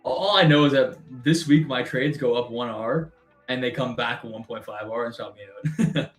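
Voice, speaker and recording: A man's voice: medium pitch, moderate pace. A young man from Canada co-hosting a trading live stream, chatting casually with a lot of fillers and frequent laughs. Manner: chatty, laughs often, filler-heavy rambling. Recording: roomy sound with faint music.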